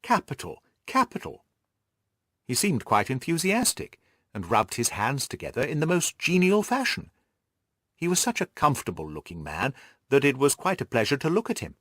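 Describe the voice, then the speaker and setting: Animated male audiobook narrator, dry sound. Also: mid-range voice, normal speed, no echo. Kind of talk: expressive literary scripted narration.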